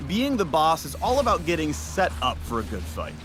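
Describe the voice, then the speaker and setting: Lively upbeat male game narrator. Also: on the high side, moderate pace, music in the background. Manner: upbeat, playful announcer delivery. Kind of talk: scripted promotional narration.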